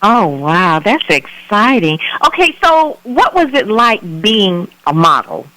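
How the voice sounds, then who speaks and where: A woman's voice: mid-range voice, big pitch swings, slow, a bit bright, dry recording, low-quality recording. A woman hosting an afternoon radio talk show, chatty and enthusiastic as she interviews a guest. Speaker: radio host. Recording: radio broadcast, dry.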